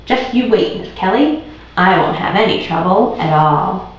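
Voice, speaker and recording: A woman's voice: medium pitch, animated, a little slow, close to an everyday voice. Woman playing an eager schoolboy in an echoey room. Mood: confident. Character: young schoolboy, eager, pleading and impatient. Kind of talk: children's storybook read aloud. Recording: very echoey room, distant muffled mic.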